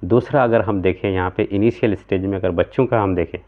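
A man's voice: low voice, a little fast. A man in his thirties explaining homeopathic remedies to camera in an earnest, explanatory way, often checking in with his listeners. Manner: earnest, explanatory, gesturing with both hands, frequent "theek hai" check-ins. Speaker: homeopathic practitioner. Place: small room at home, indoors. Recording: tinny, phone-like sound.

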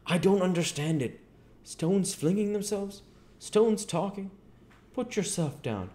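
Guy doing a baffled grumbly tramp from an old novel, voice way up high, indignant, all over the place. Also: lively intonation, unhurried, mellow. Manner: exclaiming, incredulous, colloquial speech. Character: bewildered, indignant working-class tramp, adult man.

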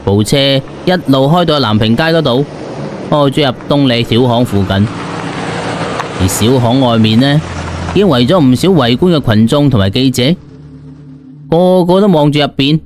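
A man's voice: medium pitch, expressive, moderate pace, long pauses, background noise. A man with a Cantonese accent narrating a scripted story dramatically, as an audiobook reader. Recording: music behind the voice. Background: background music.

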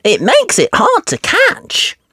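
Woman voicing a high-pitched little girl pig. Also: wildly swooping pitch, slow, pushed and bright, dry recording, clean studio sound, full character voice. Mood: curious. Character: little girl pig, polite, curious cartoon child.

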